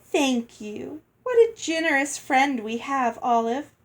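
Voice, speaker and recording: A woman performs a snobbish, greedy elder sister from a storybook. Her voice is high and swoops grandly, condescending and bossy under a coating of false sweetness. She takes her time over her words. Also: lively intonation, slow pace, full character voice. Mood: smug, falsely sweet.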